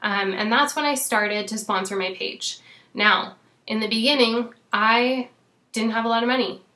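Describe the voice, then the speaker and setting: A woman in her thirties, a fitness coach, talking casually and encouragingly to her team. She is losing her voice and often fills pauses with "um" and "you know". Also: on the high side, sharp tone. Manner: chatty, frequent "um" and "you know". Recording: echoey room.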